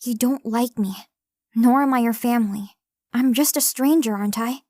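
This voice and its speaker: A woman's voice: on the high side, moderate pace, dark tone, no echo, studio quality, natural voice. A woman playing a guarded, cynical runaway girl in an anime fan dub, blunt and distrustful. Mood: guarded, challenging. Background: music.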